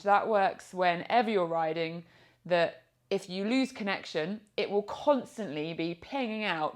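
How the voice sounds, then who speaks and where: A British woman presents an informal review to camera. Her voice sits a little on the low side. She explains things in a chatty, practical way, as a vlogger would. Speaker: product reviewer and motorcycle vlogger.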